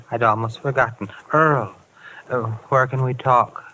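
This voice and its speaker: An actor playing a weary, bitter runaway husband in an old radio drama, talking in a halting, brooding way. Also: male voice, mid-range voice, steady tone, sharp tone. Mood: wistful, resigned. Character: weary, wistful male runaway husband, legally dead. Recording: noisy vintage radio recording.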